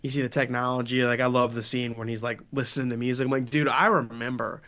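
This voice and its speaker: Bright-voiced, excitable man in his twenties. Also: mid-range voice, fast. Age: 20s. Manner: enthusiastic, stammering, slangy. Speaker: podcast guest. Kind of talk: casual film discussion.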